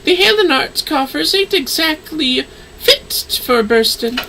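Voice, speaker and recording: A woman's voice: sits high, animated, measured pace, bright, forceful tone, slight background noise, full character voice, poor audio. A woman's voice playing a cheerful, deferential workshop helper in a fantasy game, with folksy, colloquial speech. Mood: cheeky, deferential. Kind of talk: fantasy game dialogue read aloud.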